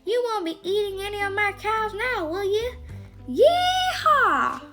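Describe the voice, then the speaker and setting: Woman voicing a high, whooping cocky cowboy. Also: big pitch swings, unhurried, a bit bright, soft background music, heavily acted. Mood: gleeful taunting. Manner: boastful cowboy hollering. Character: bold, cocky, whooping young cowboy man.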